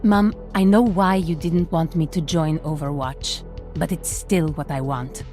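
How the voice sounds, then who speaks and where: An actress playing an earnest, dutiful grown daughter and soldier in a video game, speaking with calm sincerity. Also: female voice, deep voice, a little slow, faint music behind.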